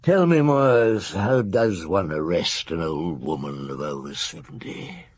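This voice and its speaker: A man playing a weary, thoughtful middle-aged chief police inspector in a radio drama, questioning in an unhurried way with murmurs and sighs. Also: sits low, sing-song, husky, no room sound, full character voice. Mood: pensive, troubled.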